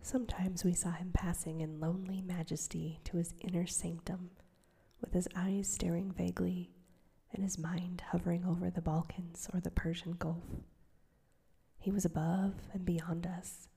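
A woman narrating a story aloud in a soft, steady whisper. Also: lowish voice, moderate pace. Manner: soft, breathy whisper throughout. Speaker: audiobook narrator.

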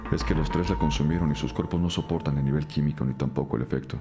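Very deep, quick investigator. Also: male voice, steady tone, creaky, over music. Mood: grave. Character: grave male investigator reporting forensic findings. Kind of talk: audio drama acting.

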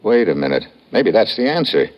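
Deep-voiced hard-boiled American investigator. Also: male voice, sing-song, fairly quick. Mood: brisk, determined. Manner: clipped, slangy, hard-boiled. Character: tough, dogged male American investigator narrating his case. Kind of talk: 1950s radio drama acting with first-person narration. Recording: muffled, noisy old radio recording.

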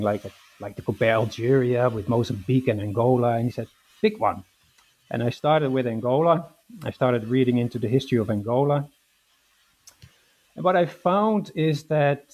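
A man from the Netherlands talks about his academic path in an interview. He pauses often and fills gaps with "uh", and he restarts his sentences. His voice is mellow and relaxed. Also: mid-range voice. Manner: hesitant, many fillers and restarts. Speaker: historian guest.

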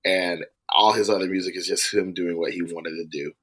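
A man's voice: low voice, fairly even intonation, brisk, fairly bright tone. A man co-hosting a casual music podcast, talking about musicians he works with and laughing often. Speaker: podcast co-host. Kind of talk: casual chat.

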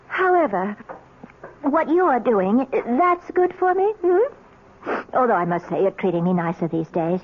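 A woman playing a suspicious, bitterly sarcastic middle-aged wife in a 1970s radio drama, with arch, pointed phrasing. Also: medium pitch. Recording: muffled vintage radio with faint noise.

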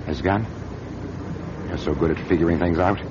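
Through noticeable noise on a muffled radio drama recording comes a cornered American company boss , played by a male actor. His voice sits on the low side, menacing and full of self-justification, a ruthless executive defending himself. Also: normal speed, close to an everyday voice. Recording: dull, noisy old radio drama recording.

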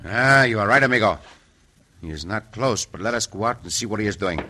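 Man as gallant Mexican cowboy, lowish voice, formal phrasing, Spanish words, old radio western, noisy recording. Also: animated, brisk, natural voice. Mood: watchful.

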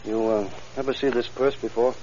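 An actor playing a brisk, no-nonsense police detective in an old-time radio drama. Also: male voice, medium pitch, fairly even intonation, warm tone, slight background noise, lightly acted. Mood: businesslike. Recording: dull old radio recording.

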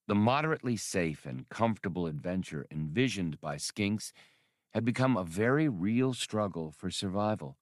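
A man's voice: low-pitched, animated, normal speed, no room sound, clean studio sound. A man reading an adventure novel aloud as scripted narration. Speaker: audiobook narrator.

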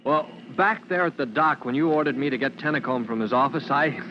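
A man acting an eager, loyal young rookie fireman in an old American radio drama, earnest, deferential and excitable. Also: mid-range voice, brisk, natural voice. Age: young adult. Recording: muffled old radio recording, faint noise.

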